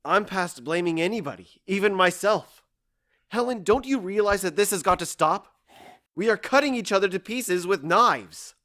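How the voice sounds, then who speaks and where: A man's voice: sits high, animated, normal speed, pushed and bright. A man playing a stoic, embittered husband in an audio drama, weary and resolute, with grave, formal speech.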